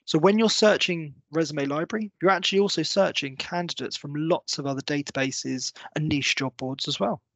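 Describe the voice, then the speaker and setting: A man in his thirties presenting a software walkthrough, explaining step by step in a semi-scripted tutorial style. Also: on the high side, moderate pace, few pauses, no room sound. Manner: clear, step-by-step explanatory delivery. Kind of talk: semi-scripted screen-share tutorial narration.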